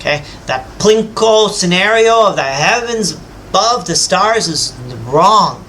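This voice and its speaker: A man's voice: high-pitched, animated, takes it slowly, bright, forceful tone, slight background noise. A man giving an emphatic, lecturing rebuttal, speaking straight to his opponent and boasting about his own knowledge. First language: English. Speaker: self-styled religious teacher rebutting a scholar. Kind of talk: combative rebuttal monologue.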